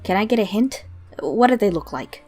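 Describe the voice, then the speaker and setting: A woman voicing a confident, teasing female shopkeeper, blunt and dry with a soft heart, in a story reading. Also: medium pitch, expressive, moderate pace, husky, faint music behind, voice pushed up. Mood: curious, teasing.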